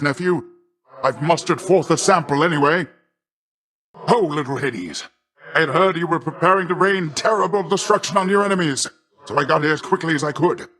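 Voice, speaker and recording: An actor playing a boastful, middle-aged sea god in a video game, a hearty and doting uncle with a grandiose, jovial, theatrical delivery. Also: male voice, fairly high pitch, a bit bright, lightly acted. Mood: boastful, encouraging. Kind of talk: video game character voice lines.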